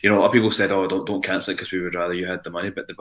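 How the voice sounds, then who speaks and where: Young Scottish male musician, low bright voice, rambling casual guest chat. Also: level tone. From Scotland. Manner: rambling, halting, repeats words.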